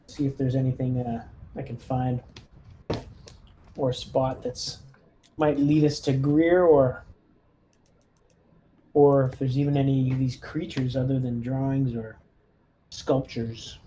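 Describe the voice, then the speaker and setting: A man with a dark, mellow voice talks through his moves in a tabletop roleplaying game. His delivery is casual and conversational. There is faint noise and ambience behind him. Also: medium pitch, flat delivery, slow, long pauses, rough recording.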